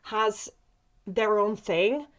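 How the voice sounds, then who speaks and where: A woman in a casual podcast chat, talking in an excitable, giggly, rambling way as she interviews a fellow actress. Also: mid-range voice, drawn-out words. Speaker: actress interviewing a castmate on a behind-the-scenes podcast.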